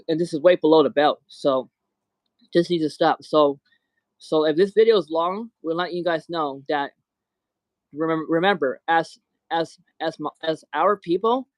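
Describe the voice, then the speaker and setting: A man's voice: fairly high pitch, no echo. A man hosting a livestream who talks in an angry rant, often repeating words and restarting his sentences. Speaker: livestream host.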